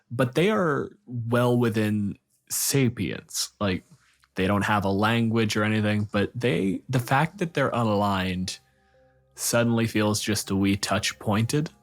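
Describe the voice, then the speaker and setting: A man hosting a casual tabletop gaming discussion, riffing in an animated way and acting out imagined quotes. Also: mid-range voice, a little slow.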